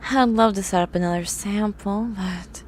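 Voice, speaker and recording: A woman's voice: medium pitch, normal speed, slight background noise, close to an everyday voice. A woman voicing a grumpy, obsessive young horse-girl scientist in an ASMR roleplay, talking in a precise, scholarly, bossy way. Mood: focused, pleased.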